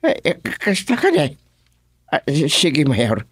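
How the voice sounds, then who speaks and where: A man's voice: on the high side, wildly swooping pitch, measured pace, slightly rough, no echo, studio quality, exaggerated voice. A man in an Ilocano radio comedy drama playing a nervous, deferential village chairman who hesitates and stammers. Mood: nervous, evasive.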